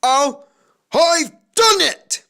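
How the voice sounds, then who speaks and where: A man voicing an old, gallant male steam engine in a storybook, speaking in loud, earnest exclamations and puffing as he goes. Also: medium pitch, animated, takes it slowly, voice pushed down. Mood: struggling bravely. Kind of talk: storybook character voice.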